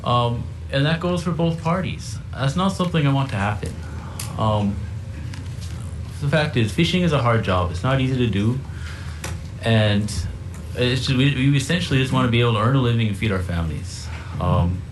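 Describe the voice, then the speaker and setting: Halting man testifying over background noise. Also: mid-range voice. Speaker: commercial aquarium fisherman giving testimony. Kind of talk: public testimony. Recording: hearing room with clear background noise.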